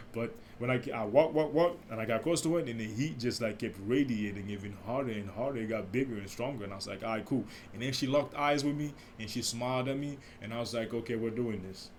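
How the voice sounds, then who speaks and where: A young man talks in a deep, mellow voice at a medium pitch, rambling through a personal story with frequent restarts and side tangents. He speaks softly, as if unaware how quiet he is. A faint hiss of background noise sits under the recording. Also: fast talker. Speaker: podcast host. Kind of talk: casual solo podcast storytelling.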